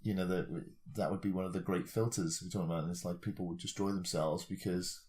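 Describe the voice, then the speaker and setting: Deep-voiced man reasoning aloud casually. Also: steady tone, quick pace, darkish tone. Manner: tentative, reasoning aloud, self-questioning. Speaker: podcast co-host. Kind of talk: casual speculative discussion.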